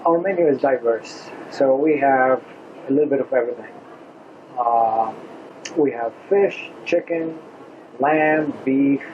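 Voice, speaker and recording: A man, a restaurant owner answering interview questions in a halting way, with many pauses and restarts. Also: mid-range voice, slight background noise. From Lebanon. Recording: slightly dull lo-fi recording.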